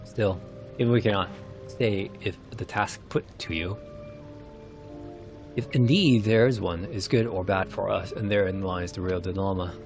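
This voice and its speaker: A man with a low, gravelly voice narrates fantasy game cutscenes. His delivery is formal and theatrical, with faint music underneath. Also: unhurried, darkish tone. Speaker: streamer narrating game story. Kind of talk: reading game cutscene dialogue aloud in character.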